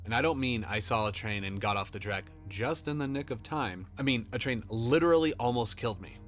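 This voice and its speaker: A man's voice: medium pitch, lively intonation, fairly quick, soft background music. A man reading his own fiction as a scripted first-person horror story narration.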